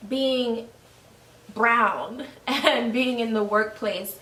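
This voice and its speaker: A woman in her twenties with a New York accent talks candidly, with a voice that sits a bit high. She laughs easily. Her voice echoes around the room, picked up from some distance. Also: extremely slow, a bit bright. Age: 20s. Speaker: host. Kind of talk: casual conversation. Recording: roomy, mic at a distance.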